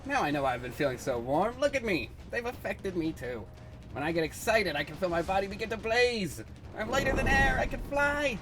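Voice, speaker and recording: A man voicing an eager, excitable young male hero in a dramatic comic-book reading. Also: high-pitched, brisk, over music, pitched above the performer's own voice. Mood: excited, amazed. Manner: eager, exclaiming.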